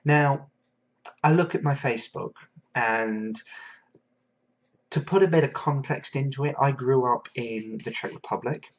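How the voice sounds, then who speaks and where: A man from England hosting a solo podcast, talking in an earnest, thoughtful way and pausing often with fillers. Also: medium pitch. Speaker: podcast host. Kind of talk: reflective solo podcast monologue.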